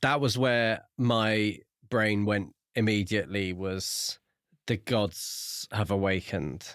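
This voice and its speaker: A man with a fairly low voice co-hosts a book podcast. He talks in a casual, conversational way. The recording is dry, without room reflections. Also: steady tone, slow, fairly bright tone, clean tone, dry recording. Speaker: podcast co-host.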